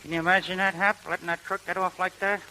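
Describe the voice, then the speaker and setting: An actor playing a cocky, scrappy young navy boxer with an American accent, slangy and pugnacious in a 1930s radio style. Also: male voice, sits high, level tone, fast talker, sharp tone, a little hiss or hum, rough recording. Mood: grumbling, defiant.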